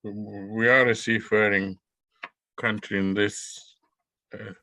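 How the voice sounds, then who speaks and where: A man from Greece hosting a book club interview, hesitant with many pauses and restarts. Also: on the low side, little pitch movement, sharp tone, no room sound, rough recording. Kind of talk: interview questions.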